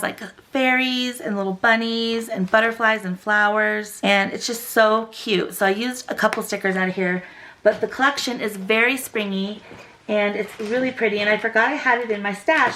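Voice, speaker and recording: A young woman hosting a craft channel, chatty and gushing, her voice still a little rough after a cold. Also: mid-range voice, moderate pace, a bit bright, slight background noise. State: recovering from a cold, voice slightly rough. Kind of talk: casual tutorial chat.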